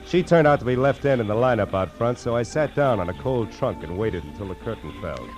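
An actor playing a wry, hard-boiled private detective in a 1940s radio noir, narrating and talking in cool slang. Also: male voice, mid-range voice, animated, brisk, darkish tone, close to an everyday voice. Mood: wry narration. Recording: old radio recording with music.

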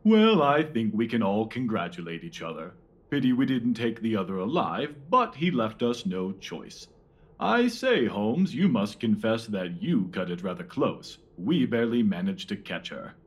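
Victorian detective, male actor, officious and pompous, rough-edged voice with swooping delivery, audio mystery drama. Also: on the low side, very animated, moderate pace, dull audio. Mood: jovial, self-satisfied. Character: pompous Victorian police detective man, self-satisfied, officious.

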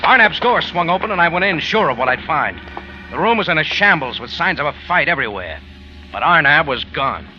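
A tough American private eye in an old radio drama. His fairly high voice moves up and down a lot in clipped, wry noir delivery. The recording is dull, with loud music behind. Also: big pitch swings, brisk. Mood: brooding, tense. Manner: clipped, hard-boiled delivery. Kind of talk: 1940s radio noir narration and acting.

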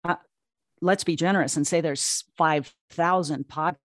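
A woman's voice: sits low, steady tone, measured pace, dry recording, clean studio sound. A woman speaking as an interview guest, answering conversationally and telling stories with emphatic repetition. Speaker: guest, audio drama platform co-founder.

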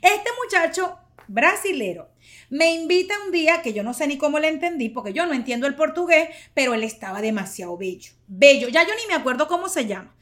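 A woman's voice: sits high, lively intonation, a little fast, a bit bright. A woman in her forties hosting a podcast, telling stories in a chatty, playful way and laughing often. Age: 40s. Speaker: podcast host. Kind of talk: casual storytelling monologue.

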